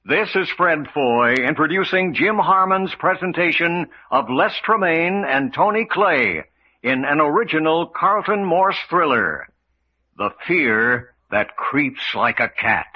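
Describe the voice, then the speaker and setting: A man's voice: on the high side, lively intonation, slow pace. A man narrating an old-time radio drama as announcer in a formal, dramatic style. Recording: muffled, noisy radio recording.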